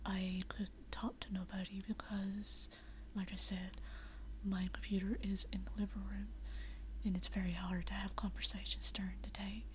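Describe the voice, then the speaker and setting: A young woman whispering quietly at night in a living room, chatting unscripted with many fillers and restarts. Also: mid-range voice, little pitch movement, normal speed, slight background noise, poor audio. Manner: rambling with frequent fillers and restarts. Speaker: VRChat vlogger. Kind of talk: unscripted casual chat.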